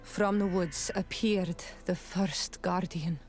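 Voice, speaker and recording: A woman's voice: lowish voice, fairly even intonation, slow, a little mellow, faint music behind. An actress playing a proud, loyal young warrior woman of a tribe in a video game cutscene, with solemn, formal and intense phrasing. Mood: solemn storytelling.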